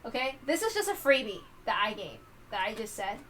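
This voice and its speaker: A woman hosting a read-along, giving casual commentary in a rambling, halting way that often interrupts itself. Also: a little high, very animated, a bit bright, slight background noise. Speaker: host reading a visual novel aloud.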